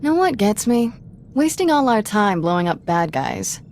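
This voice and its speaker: Cocky young jedi sidekick in a game, blunt and casual, medium pitch, bit of background ambience. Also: female voice, sing-song, moderate pace, darkish tone, a little hiss or hum. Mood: brash conviction. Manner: blunt, informal, self-assured. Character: brash, confident young female Jedi companion. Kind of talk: video game character acting.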